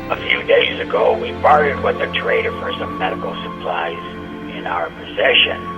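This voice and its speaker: A man playing a formal, coolly menacing alien assassin lord in a sci-fi audio drama, with measured, courtly threats. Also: fairly low pitch, animated, vocal fry, muffled recording, over music. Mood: calm menace.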